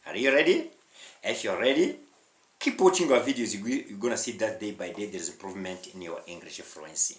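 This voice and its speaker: A man's voice: on the low side, animated, fairly quick. A man from Rwanda with a Rwandan accent, teaching an online English lesson emphatically, with repetition and short questioning interjections. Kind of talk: online language lesson, addressing viewers directly. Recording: echoey room.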